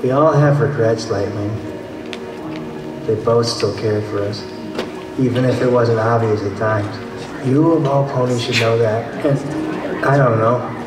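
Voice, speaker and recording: A man's voice: mid-range voice, steady tone, a little slow, pauses often, close to an everyday voice. Gloomy young male dragon, played by a man, timid, earnest, stammering, fan radio play, music behind, reverberant hall. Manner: hesitant, stammering, polite. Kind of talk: fan radio drama acting. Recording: echoey convention hall playback, from far off.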